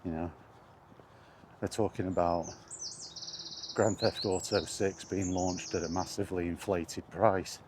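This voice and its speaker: A man's voice: sits low, steady tone, measured pace, long pauses, noisy background. A man ranting indignantly in a casual spoken vlog, recorded while walking outdoors. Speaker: gaming vlogger.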